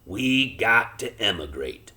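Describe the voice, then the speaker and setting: A man reading aloud and voicing a small, stubborn working-class father who speaks in a flat, expressionless way with rustic grammar. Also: lowish voice, takes it slowly, voice pushed up, full character voice. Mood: gruff, firm.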